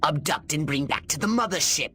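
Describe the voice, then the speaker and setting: A man's voice: fairly high pitch, animated, a little fast, bright, forceful tone, slightly rough, exaggerated voice. A man voicing a scheming, condescending alien commander in a video game, with pompous, theatrical delivery. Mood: exasperated, instructing. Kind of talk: video game cutscene acting.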